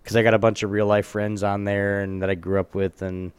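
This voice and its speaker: A man's voice: fairly low pitch, level tone, brisk, clean tone, dry recording. A man hosting a podcast in a casual, rambling, laid-back and informal way. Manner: informal, laid-back, loses train of thought. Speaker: podcast host.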